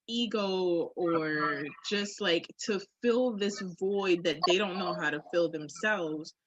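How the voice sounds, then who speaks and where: A woman's voice: lowish voice, a bit bright, dry recording, muffled recording. A woman co-hosting a candid podcast conversation, speaking in a reflective, emphatic and informal way. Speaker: podcast co-host.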